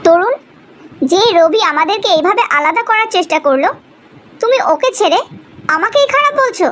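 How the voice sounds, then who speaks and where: A man's voice: extremely high pitch, animated, normal speed, a bit bright. A man voicing an indignant, dramatic young woman in a cartoon love drama, theatrical and plaintive. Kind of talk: dramatic animated story dialogue.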